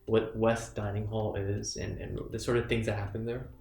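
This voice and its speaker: A man's voice: lowish voice, little pitch movement, moderate pace, darkish tone, echoey room. A young man co-hosting a casual podcast chat, playful and teasing, rambling a little. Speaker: podcast co-host.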